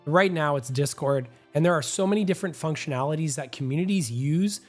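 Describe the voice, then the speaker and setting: A young man hosting a gaming news show, hoarse and a bit nasal from a cold, talking in an upbeat, talkative and self-assured way. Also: a little high, brisk, faint music behind. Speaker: gaming channel host. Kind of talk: energetic gaming news commentary.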